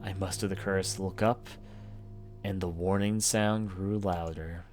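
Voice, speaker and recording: Male let's player, low register, dramatic horror story reading with game music clearly underneath. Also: steady tone, unhurried. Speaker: let's player reading a visual novel aloud. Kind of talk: dramatic first-person story narration for a horror game playthrough. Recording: music behind the voice.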